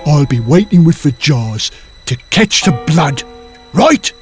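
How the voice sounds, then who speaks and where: A man's voice: on the high side, very animated, slow, faint music behind. A man voicing a mean, scheming dwarf villain in an audiobook, muttering and impatient. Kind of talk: audiobook character voice.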